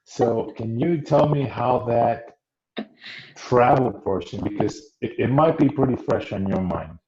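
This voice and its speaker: A man hosting an interview show, his voice sitting at an ordinary middle pitch. He often restarts his sentences and asks several questions back to back. The room echoes around him, and the sound is dull with a faint hiss. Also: crowd noise. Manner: talks slowly, stacks up questions with restarts. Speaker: podcast host. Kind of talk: interview podcast. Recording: roomy, muffled audio with faint noise.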